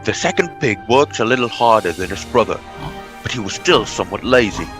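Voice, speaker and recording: A man's voice: lowish voice, expressive, a little fast. A man narrating a storybook tale from a script. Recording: music under the voice.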